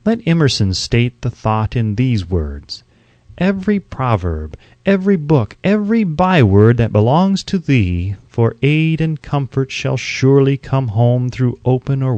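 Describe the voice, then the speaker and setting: A man reading an audiobook as scripted narration, in a measured, emphatic, oratorical way. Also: mid-range voice, darkish tone, no room sound.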